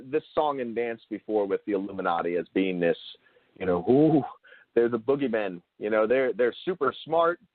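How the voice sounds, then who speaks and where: A man hosting an interview in an unscripted explanatory style, halting and rephrasing himself, with emphasis on key words. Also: medium pitch, dry recording. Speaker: show host interviewing a guest. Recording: thin phone-like call audio.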